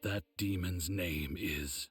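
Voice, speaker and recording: An actor playing a grave old mentor of demon slayers, explaining things in a measured, solemn way for a video game. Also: male voice, low voice, fairly bright tone, slightly rough, faint music behind, exaggerated voice. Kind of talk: English game dub, measured explanatory dialogue.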